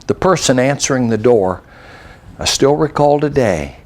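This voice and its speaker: An older man with an American accent tells a story in rhyming verse. His voice sits at a medium pitch. He delivers the lines in a measured, reflective way, as a practised storyteller. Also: animated. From United States. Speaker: storyteller and poet. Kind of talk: reciting a rhyming narrative poem.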